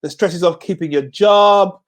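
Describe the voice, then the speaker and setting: A man's voice: high-pitched, a little slow, slight background noise. A middle-aged man from Jamaica hosting a casual talk show, often repeating words as he starts sentences and turning phrases into slogans. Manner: stammering repeats, laughs often, emphatic slogans. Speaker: host. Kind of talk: casual chat.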